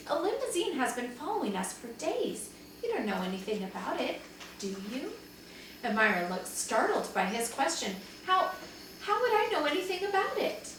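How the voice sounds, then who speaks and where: A woman reading a children's story aloud like a teacher to young pupils, expressively acting out the dialogue. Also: sits high. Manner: animated, dramatizes dialogue for young listeners. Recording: very echoey room, distant mic, faint noise.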